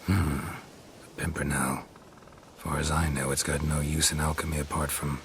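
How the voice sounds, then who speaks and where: An actor playing a stoic, dry-witted monster hunter in a video game, laconic and sardonic. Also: male voice, very deep voice, level tone, normal speed, background noise. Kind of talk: scripted video game acting.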